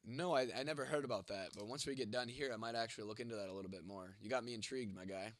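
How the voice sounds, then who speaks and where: A man's voice: fairly low pitch, rapid-fire, no room sound. A young man hosting a casual livestream and reading out viewer comments. He is congested and losing his voice, with a cough, and talks in a laid-back way with casual swearing. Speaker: livestream host.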